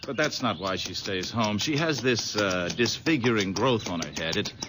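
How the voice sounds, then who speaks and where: A man's voice: fairly low pitch, moderate pace, natural voice. An actor playing an eager, friendly young man in a radio drama. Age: young adult. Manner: eager and animated. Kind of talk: 1970s radio drama acting. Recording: noisy old radio recording.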